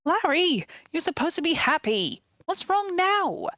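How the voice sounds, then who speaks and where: A woman's voice: very high-pitched, very animated, unhurried, a bit bright, dull audio, slight background noise, voice pushed up, exaggerated voice. A woman voicing an earnest, slightly exasperated young male rookie lawyer in a video game, plain-spoken and hesitant. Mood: exasperated, flustered.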